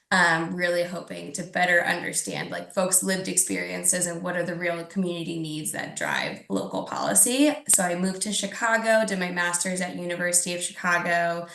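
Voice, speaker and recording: Brief: young female panelist, gravelly lowish voice, echoey room sound. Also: pushed and bright. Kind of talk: panel introduction.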